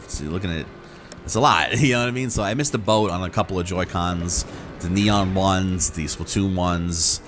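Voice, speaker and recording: A man hosting a gaming channel, talking casually and off the cuff in a rambling, halting way with repeated words. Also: low-pitched. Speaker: YouTube gaming channel host. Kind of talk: casual, unscripted chat to viewers.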